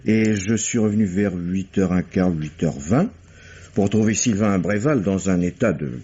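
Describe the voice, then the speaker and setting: An actor playing a suave, self-satisfied playwright who speaks in a polished, ironic way in an old radio play. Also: male voice, deep voice, normal speed, warm tone, slightly rough. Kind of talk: 1950s radio drama acting. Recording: dull old radio broadcast.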